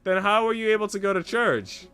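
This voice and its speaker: A man's voice: high voice, expressive, fairly quick, bright, forceful tone, clear, resonant tone, voice pushed up. Man voicing a teasing, theatrical older woman. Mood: incredulous. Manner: playful, dramatic. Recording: music playing behind the voice.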